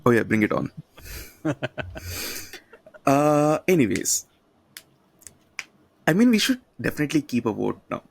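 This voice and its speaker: A man's voice: mid-range voice, sing-song, measured pace, gravelly, long pauses, husky. A man hosting a podcast over a video call, playful and teasing, with lots of "you know". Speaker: podcast host.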